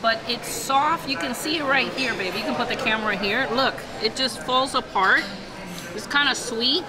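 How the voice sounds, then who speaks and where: A woman's voice: a little high, moderate pace, poor audio. Woman gushing over food in a packed restaurant. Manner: enthusiastic tasting, lots of "mm" and "so good". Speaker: food vlogger. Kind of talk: casual food review chat. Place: busy restaurant dining room. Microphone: camera across the table. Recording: loud diners all around. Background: loud restaurant crowd chatter.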